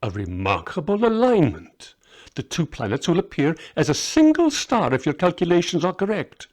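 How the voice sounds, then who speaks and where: A man voicing an aging, half-blind wise male scholar with a musing, gently ironic manner in a story reading. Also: on the high side, huge pitch swings, moderate pace, pitched above the performer's own voice. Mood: intent, pleased. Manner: musing, questioning.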